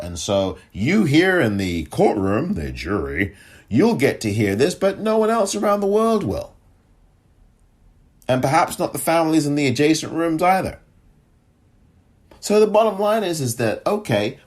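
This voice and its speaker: A middle-aged man hosting a solo commentary podcast, animated and sarcastic, his voice cracking from excitement and leaping high when he gets indignant. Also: slightly rough. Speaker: podcast host.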